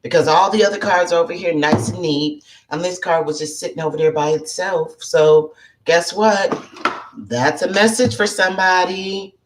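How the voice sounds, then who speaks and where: Woman host, low and unhurried, froggy from allergies, casual live-stream chatter with audible background noise. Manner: chatty, greets viewers by name, clears throat often. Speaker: psychic life coach hosting a live show.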